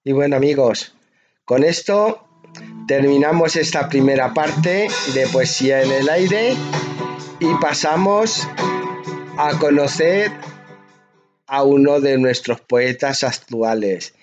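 Unhurried man presenting, slightly dull sound. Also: mid-range voice, over music. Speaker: radio poetry show host. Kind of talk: poetry programme presenting.

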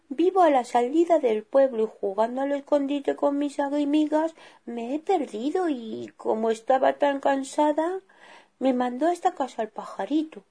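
A woman's voice: sits high, mellow, pitched above the performer's own voice. A woman doing a chirpy, wide-eyed little girl. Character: little blonde girl, lost and sleepy.

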